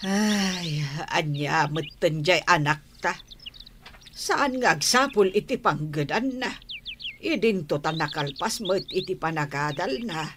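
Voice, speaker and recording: A woman's voice: fairly low pitch, animated, a little slow, a bit bright. An actress playing a doting, worried older mother in a radio drama, plaintive, with an Ilocano accent. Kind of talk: Filipino radio drama acting. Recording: background noise with birdsong. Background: birds chirping.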